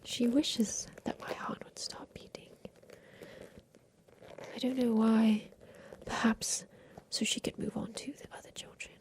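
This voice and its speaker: Woman acting a slow, whispering secretive attic girl. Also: fairly high pitch, little pitch movement. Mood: wistful, secretive. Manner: slow, hushed, deliberate, repeats phrases. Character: captive girl in a haunted attic, secretive, tender creator. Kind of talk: whispered ASMR roleplay.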